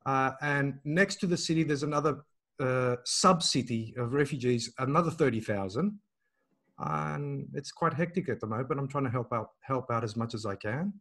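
A man's voice: mid-range voice, moderate pace, a bit bright. A man in his forties from Australia, talking hesitantly with many ums and restarts on a video call. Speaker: language school owner. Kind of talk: casual video call chat.

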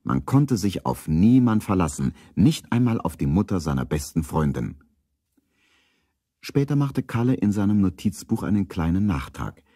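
A man narrating a children's radio play as a calm storyteller, reading scripted prose. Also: low-pitched, moderate pace, husky, dry recording, clean studio sound, heavily acted. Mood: measured, storytelling.

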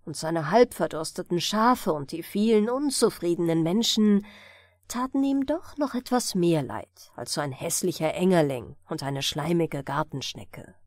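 A woman's voice: fairly low pitch, expressive, a little slow, a little mellow, no echo, clean studio sound. A woman storyteller narrating a scripted bedtime fairy tale for an audiobook.

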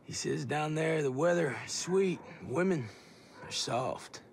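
A man playing a tough, confident American special forces soldier in a video game, talking casually with measured military pauses. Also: fairly high pitch, creaky, slight background noise. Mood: gruff, laid-back. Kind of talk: video game cutscene acting.

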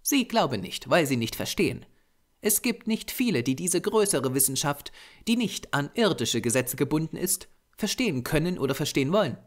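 A man's voice: a little high, big pitch swings, moderate pace, pitched above the performer's own voice. A man voicing a small, grey-haired Japanese doctor, a patient, earnest occultist lecturing formally in an audiobook. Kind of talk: audiobook character voice.

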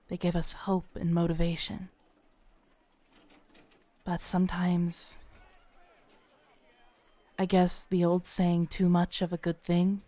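Knowing female tarot reader, acted by a woman, audio roleplay; soothing, very slow delivery, dry close recording. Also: fairly low pitch, no echo. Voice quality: smooth, soft. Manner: unhurried, soothing, theatrical, with dramatic pauses. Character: kindly, playful, knowing Renaissance faire tarot reader woman. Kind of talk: soft-spoken audio roleplay addressed to the listener. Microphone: close studio mic, dry.

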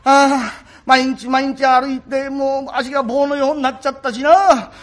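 A hapless, henpecked working man, played by a male storyteller in a comic rakugo tale. He grumbles and frets in rough colloquial speech, with a high-pitched, gravelly voice. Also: animated, fast talker, fairly bright tone, heavily acted. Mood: weary, dejected.

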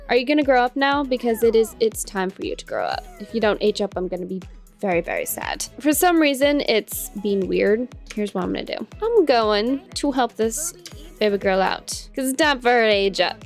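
Bubbly woman with a nasal cold voice. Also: a little high. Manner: bubbly, chatty, talks to her game characters. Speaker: gaming channel host. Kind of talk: casual gameplay commentary.

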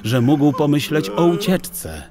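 A man narrating a children's audio story in an expressive, literary storytelling style. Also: a little high, slow, a crowd in the background.

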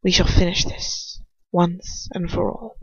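An amateur reader voicing a cold, commanding aristocratic male wizard in a storybook reading, smooth and curt. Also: medium pitch, level tone, slow pace, a bit bright, no room sound, muffled recording, heavily acted. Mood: smugly commanding.

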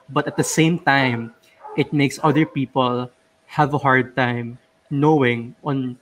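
A young man in a casual panel discussion, explaining in a measured way with filler words, speaking into a large mic on a video call. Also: mid-range voice, rough recording. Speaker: motoring panelist. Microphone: large studio mic on video call.

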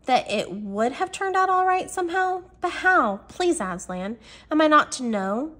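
A woman's voice: high voice, sing-song, measured pace, fairly bright tone, pitched above the performer's own voice, heavily acted. A woman voicing an eager young girl in a storybook reading, tender, earnest and pleading. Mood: faint, pleading.

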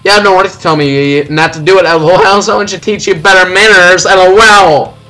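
Smug young forum troll played by a man, taunting game character, bright and higher-pitched. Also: soft background music, poor audio. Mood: mocking, smug. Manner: mocking, drawn-out spelled-out laughing acronym. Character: smug, taunting internet troll, first-year student.

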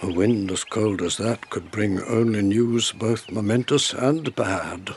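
An actor in a radio drama playing a gloomy, wary older lord at a Japanese court, with a husky voice. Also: male voice, fairly low pitch, measured pace, a little hiss or hum, natural voice. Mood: guarded, probing. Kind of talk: BBC radio drama acting.

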